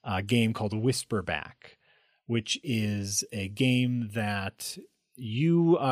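A man co-hosting a casual chat, talking in an animated way that trails off and restarts often. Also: on the low side, dry recording, studio quality. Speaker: streamer and co-host.

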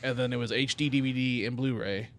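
A middle-aged man with a medium-pitched voice talks casually on a comedy podcast. His manner is crude and joking. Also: steady tone, fast talker, fairly bright tone.

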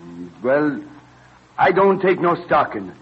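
A man's voice: a little high, sing-song, measured pace, exaggerated voice. An actor playing a stern, grumbling farmer father in an old-time radio drama. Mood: stern, disapproving. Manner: gruff, disapproving. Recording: dull vintage radio recording.

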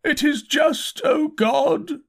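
A man voicing an insane curate in an audiobook, crying out religious doom in drawn-out, declamatory wails. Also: very high-pitched, creaky, voice pushed up, full character voice. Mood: anguished repentance. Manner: wailing, declamatory, drawn-out preaching cries. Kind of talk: dramatised audiobook character.

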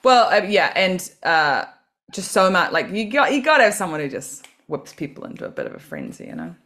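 A woman's voice: on the low side, sing-song, a little fast, fairly bright tone. A woman from New Zealand, a comedian and podcast guest, talking in a chatty, self-deprecating way and laughing often. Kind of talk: casual podcast interview.

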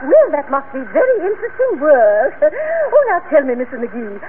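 A grand, affected society matron in a vintage radio comedy. She flutters through her genteel phrases in a high, swooping voice. The sound is narrow, with faint noise. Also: wildly swooping pitch, moderate pace. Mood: gracious, flustered. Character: haughty, fluttery middle-aged society matron. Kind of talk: 1940s radio comedy acting.

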